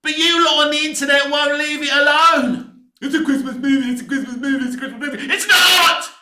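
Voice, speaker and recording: A man's voice: very high-pitched, a little fast, sharp tone. A British man in his fifties giving a casual, opinionated rant as a film vlogger, emphatic and exasperated, with mild swearing and repeated points. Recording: echoey, reverberant room.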